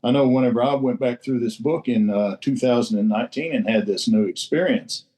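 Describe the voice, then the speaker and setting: A man's voice: medium pitch, brisk, mellow. A man sharing in a recovery roundtable in a blunt, salty way, swearing freely and repeating himself for emphasis. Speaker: recovery group member sharing. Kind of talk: casual share in a recovery roundtable.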